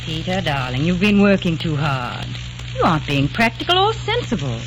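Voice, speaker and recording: An actress playing a sensible young woman who gently reasons with her fiancé, in an old radio drama. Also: on the low side, big pitch swings, moderate pace, music in the background. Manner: soothing, practical, affectionate. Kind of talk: 1940s radio drama acting. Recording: noisy old radio recording.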